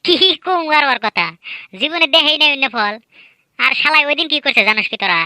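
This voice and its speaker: A high-pitched woman with a very bright, sharp tone speaks a rural Bangladeshi Bangla dialect. She voices comic cartoon banter in a lively, boastful, teasing way. The sound is dry and close to the mic. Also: fairly quick, no echo, low-quality recording. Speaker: cartoon voice artist and narrator. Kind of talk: comedic cartoon voice acting.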